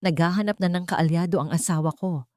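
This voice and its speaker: A woman's voice: lowish voice, fairly even intonation, fairly quick, darkish tone, dry recording, clean studio sound, natural voice. A woman voicing a cruel, scheming, murderous husband as the villain of an audio drama story. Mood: bitter, menacing.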